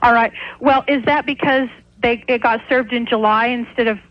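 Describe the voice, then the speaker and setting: A woman's voice: fairly high pitch, steady tone, moderate pace, dry recording. An American woman calling in to a radio show by phone, explaining things in a hesitant, rambling way. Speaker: caller, small restaurant owner. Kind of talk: unscripted phone-in conversation. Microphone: telephone. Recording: dull lo-fi radio phone call.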